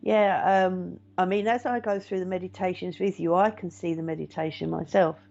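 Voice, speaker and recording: A middle-aged woman talks in a gentle, chatty way, reassuring listeners and reading out their comments with plenty of ums. Her voice sits lowish, with a gravelly fry and a soft, mellow tone. The sound is dull and lo-fi, like a home live stream. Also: fairly even intonation, normal speed. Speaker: meditation teacher and psychic medium.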